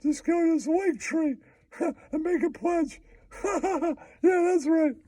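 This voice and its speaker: A man voicing a giggly, foul-mouthed cartoon mouse puppet in squeaky falsetto, teasing and pitching in a comic puppet show. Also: takes it slowly, warm tone, no room sound. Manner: giggly, repeats taunting catchphrases.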